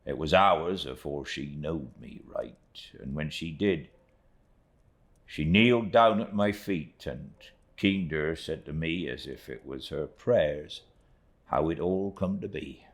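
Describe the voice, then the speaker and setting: A man's voice: rumbling low, slow, heavily acted. A man voicing a humble, devout old seafaring uncle in an audiobook, with a rustic East Anglian dialect, earnest and plain-spoken. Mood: moved, earnest. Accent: rustic East Anglian English dialect. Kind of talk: audiobook character reading.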